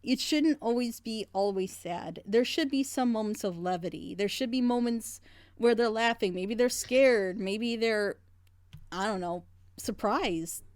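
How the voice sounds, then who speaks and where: A woman's voice: a little high, measured pace, dry recording. A woman in a casual group reaction chat, talking earnestly and passionately in long, rambling stretches. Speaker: reaction group member leading the discussion.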